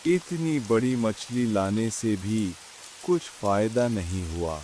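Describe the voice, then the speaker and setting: A man's voice: fairly low pitch, takes it slowly, mellow, rough recording. A man voicing a fussy, hapless young village husband in a comic cartoon story. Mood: aggrieved. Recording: faint hiss with rain sounds.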